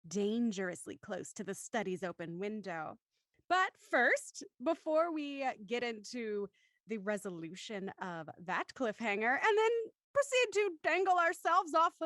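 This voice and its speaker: High-voiced woman hosting, playful and dry-miked. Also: a bit bright, dry recording. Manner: playful, self-deprecating, joking. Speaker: host. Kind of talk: live show hosting, casual banter.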